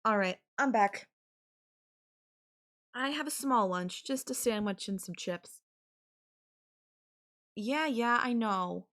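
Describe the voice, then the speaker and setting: A woman's voice: on the high side, expressive, a bit bright. A woman playing a possessive, sarcastic and menacing yandere girlfriend in an audio roleplay, talking with sweet condescension. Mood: irritated, stressed. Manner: slow, sarcastic, sweetly condescending with veiled threats. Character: possessive, menacing yandere girlfriend holding her partner captive. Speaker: voice actor.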